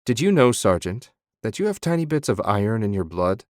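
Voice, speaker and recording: A man's voice: on the low side, sing-song, fairly quick, no room sound, studio quality. A man voicing a sardonic, smug young nobleman who is a wizard in an audiobook.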